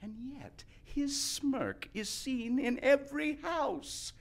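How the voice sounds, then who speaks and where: Indignant misanthrope with wildly swooping delivery. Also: male voice, high voice, fairly bright tone, full character voice. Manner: declamatory, emphatic, crisp rhyming delivery. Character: indignant misanthropic gentleman, rigid and theatrically gloomy. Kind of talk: stage acting of a verse comedy.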